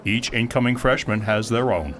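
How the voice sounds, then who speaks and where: A courteous, literal-minded guide for a radio play, acted by a man, with a mid-pitched voice. He phrases everything formally and precisely, like an official mentor. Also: a bit bright, slight background noise, close to an everyday voice. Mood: courteous, unruffled. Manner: formal, measured, precise phrasing with few contractions. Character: polite, literal-minded male mentor, one of many identical guides. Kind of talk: audio drama acting.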